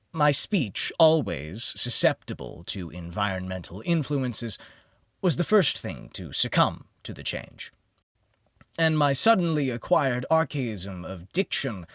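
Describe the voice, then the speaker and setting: A man narrating a gothic horror story in the first person, with a measured, theatrical and formal literary delivery. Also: lowish voice, fairly bright tone, no room sound, clean studio sound. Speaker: audiobook narrator.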